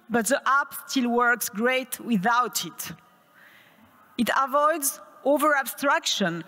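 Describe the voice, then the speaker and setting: High-voiced French woman giving a talk. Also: a bit bright. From France. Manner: upbeat, asks the audience questions. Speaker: conference speaker. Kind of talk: tech conference talk. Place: conference hall. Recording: reverberant hall.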